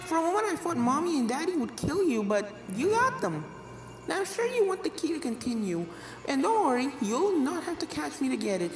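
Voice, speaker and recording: A woman's voice: high voice, big pitch swings, fairly quick, roomy sound, pitched above the performer's own voice, low-quality recording. A woman voicing a playful, chatty newborn ghost filly with a sweet childlike manner in a story reading.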